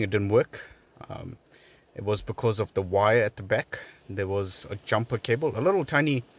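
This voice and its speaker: A man hosting a how-to video, explaining things step by step in a casual, conversational way inside a parked car. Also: lowish voice. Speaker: video host giving a tutorial.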